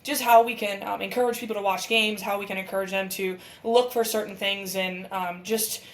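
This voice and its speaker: A woman in her thirties with a raspy voice, co-hosting and giving casual live sports commentary in an enthusiastic, candid way. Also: medium pitch, steady tone, a little fast. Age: 30s. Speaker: podcast co-host and amateur sports commentator. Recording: roomy echo, distant from mic.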